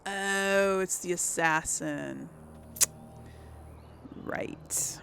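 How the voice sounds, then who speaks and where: A woman's voice: fairly low pitch, very slow, vocal fry, fairly bright tone, a little hiss or hum. A woman giving casual game commentary to viewers, exclaiming and muttering her reactions as she plays. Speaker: let's play host. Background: game music.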